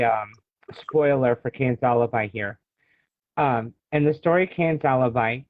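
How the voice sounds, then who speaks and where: A man's voice: mid-range voice, dry recording. An American man being interviewed as an author, talking casually and explaining at length like a storyteller. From United States. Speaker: guest author. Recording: thin phone-like call audio.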